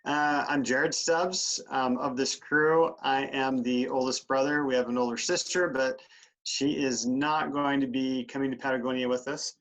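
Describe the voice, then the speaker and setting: A man's voice: mid-range voice, steady tone, normal speed, a bit bright, poor audio. A man in his forties introducing himself and his siblings in an easygoing, joking way with some fillers. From Idaho, USA. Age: 40s. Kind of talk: casual team introduction.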